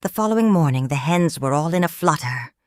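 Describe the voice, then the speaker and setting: A woman reading a children's picture book aloud in a calm, measured, expressive storytelling manner. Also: low-pitched, no room sound, studio quality. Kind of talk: children's read-aloud narration.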